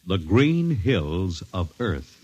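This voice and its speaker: A man's voice: low-pitched, very animated, drawn-out words, lo-fi sound. An American man announcing a 1950s radio drama in dramatic, formal scripted narration. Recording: vintage radio recording, loud music behind.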